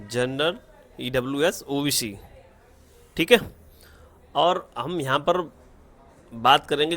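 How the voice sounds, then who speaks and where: A man's voice: medium pitch, fairly bright tone. A man narrates a step-by-step screen tutorial in an instructional way, mixing English terms into his Hindi and often checking in with an okay. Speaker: online tutorial presenter.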